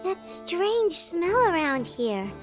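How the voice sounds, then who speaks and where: A tiny, curious game sidekick with a squeaky child's voice. The pitch sits very high and swings widely as it chatters and asks questions. Soft music plays underneath. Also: female voice, takes it slowly, full character voice. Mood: puzzled. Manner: expressive, inquisitive. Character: small childlike floating companion, curious and chatty. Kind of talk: video game character acting.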